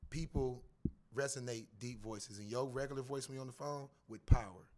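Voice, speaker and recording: Male guest, raspy and a bit squeaky, lively podcast banter, acts out lines. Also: a little fast. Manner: animated, acts out voices and rap lines. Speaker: guest rapper. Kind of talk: casual podcast chat.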